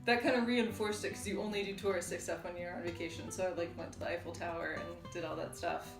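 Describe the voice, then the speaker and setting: A woman's voice: lowish voice, fairly even intonation, fast talker, vocal fry, music in the background. A woman from Virginia giving thoughtful interview answers with plenty of filler words. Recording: echoey room.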